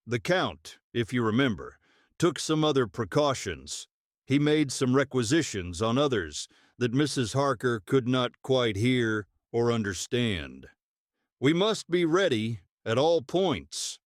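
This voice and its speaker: A man playing a plain-spoken vampire hunter, ready for action, in a measured, matter-of-fact audiobook performance. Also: mid-range voice, sing-song, no room sound, studio quality. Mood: practical, wary. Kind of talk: dramatised audiobook acting.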